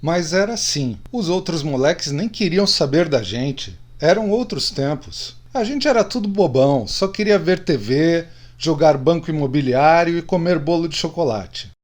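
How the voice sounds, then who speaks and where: A middle-aged man played by an actor in a radio play, sharing secrets among old friends. His voice sits rather high for a man and moves up and down in a lively way. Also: moderate pace, few pauses, natural voice. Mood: confessional. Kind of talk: radio drama acting.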